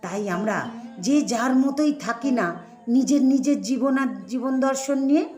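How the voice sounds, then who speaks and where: A woman voicing a retired Bengali mother-in-law, quietly disapproving and self-restrained, in an expressive, conversational story reading. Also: high-pitched. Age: older. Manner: conversational, expressive, rhetorical questions. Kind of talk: first-person dramatic story reading. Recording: echoey voice over sitar music.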